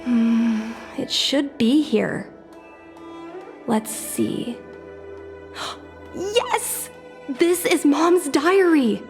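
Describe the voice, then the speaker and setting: An actress playing a curious, determined young woman, a daughter in a video game dub, with an earnest, measured delivery. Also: high voice, animated, darkish tone, soft background music, full character voice. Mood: eager, curious.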